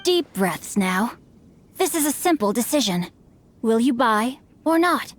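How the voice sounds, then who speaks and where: A woman's voice: on the high side, very animated, a little slow, a little hiss or hum. A woman voicing a witty, bookish young male heir in a game cutscene, speaking formally with dry, teasing wit.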